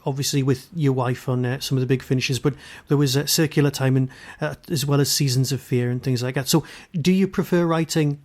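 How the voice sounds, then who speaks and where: A man's voice: mid-range voice, fairly even intonation, fairly quick, hardly any pauses, a little mellow. A man hosting an interview, asking long, casual, conversational questions. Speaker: podcast host, interviewer.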